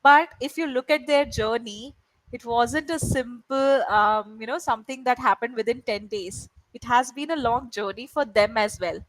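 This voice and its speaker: A woman's voice: on the high side, moderate pace, no echo, rough recording. A woman whose first language is Malayalam, tutoring English over a video call and checking in often with her student. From India. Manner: encouraging, checks in with "right?" often. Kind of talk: online tutoring session.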